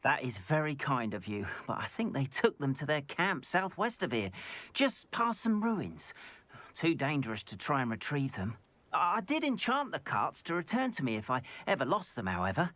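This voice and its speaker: A man voicing a gentle, wronged goblin painter in a video game, speaking in an earnest, measured and formal way. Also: a little high, dry recording. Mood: grateful, wistful. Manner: measured, expressive, formal old-fashioned phrasing. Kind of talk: video game character acting.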